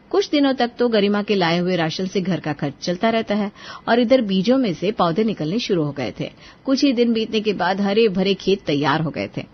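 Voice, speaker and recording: Female narrator for scripted moral tales, storytelling delivery, slightly lo-fi sound. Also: medium pitch.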